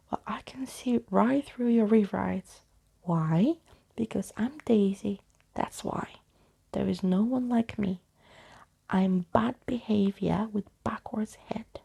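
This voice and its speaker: A woman's voice: medium pitch, vocal fry, warm tone, breathy edge, full character voice. A woman voicing an impish, sneering, brash six-year-old ghost girl in a storybook reading.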